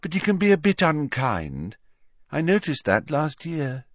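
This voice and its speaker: A man's voice: fairly high pitch, wildly swooping pitch, measured pace, no room sound, studio quality, pitched above the performer's own voice, heavily acted. A man voicing a dreamy, candid teenage schoolgirl in an audiobook, vague and wondering. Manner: vague, wondering, matter-of-fact. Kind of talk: audiobook character voice.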